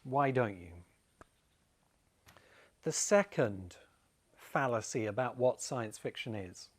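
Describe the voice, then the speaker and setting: A man's voice: mid-range voice, expressive, slow pace. Man, opinionated video essay host, informal and discursive, talks right to the listener. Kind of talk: informal explanatory monologue to camera. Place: home among rice fields in Bali.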